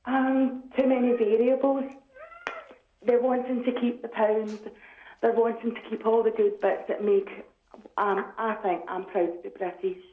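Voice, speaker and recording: A woman's voice: a little high, steady tone, unhurried. A woman from Scotland, calling a radio phone-in and talking in a hesitant, conversational way. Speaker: caller. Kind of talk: phone-in call. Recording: tinny echoey phone line.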